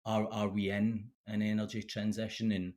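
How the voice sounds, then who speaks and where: A Scottish man in his thirties, a guest speaking casually in an interview, halting with many fillers and restarts. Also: fairly low pitch, completely flat delivery, darkish tone. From Scotland. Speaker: guest, PhD candidate.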